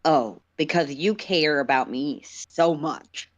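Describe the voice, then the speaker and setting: A woman voicing a bitter, wounded male alien who interrogates with sharp, accusing demands in a story reading. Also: sits low, takes it slowly, no echo, muffled recording. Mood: bitter, demanding.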